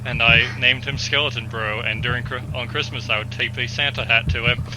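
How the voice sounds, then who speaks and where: A man in his twenties talks casually over clearly audible background music. He has a mid-pitched voice and an animated, hyperbolic way of joking, like someone hosting a relaxed podcast. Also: brisk, rough recording. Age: 20s.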